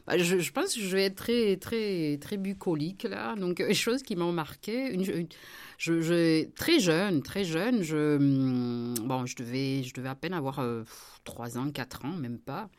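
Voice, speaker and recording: A middle-aged woman from France, a member of parliament talking about her life in an interview, pausing often with fillers and restarts. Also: deep voice, expressive. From southern France. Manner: hesitant, many fillers and restarts, warm laughs. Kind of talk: relaxed personal interview.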